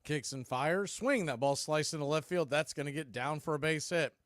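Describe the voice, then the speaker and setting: Higher-voiced American man calling baseball. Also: normal speed, a bit bright, no room sound, studio quality. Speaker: baseball play-by-play announcer. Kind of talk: live radio play-by-play commentary, mixed with fan chat.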